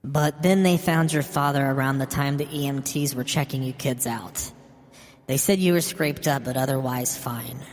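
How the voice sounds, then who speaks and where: A man's voice: medium pitch, normal speed, voice pushed up, close to an everyday voice. Man voicing a grieving, bitter mother in reverb. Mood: accusing. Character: bitter, grieving old mother, wounded and resentful. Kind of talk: dramatic horror story reading. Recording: long hall reverb. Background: music.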